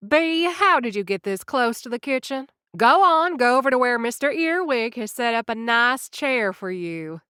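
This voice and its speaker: A woman's voice: a little high, animated, normal speed, hardly any pauses, sharp tone, smooth, dry recording, studio quality. A woman playing a sarcastic, flustered schemer who narrates a comedic audio drama. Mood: flustered, coaxing. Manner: wry, improvising, awkward.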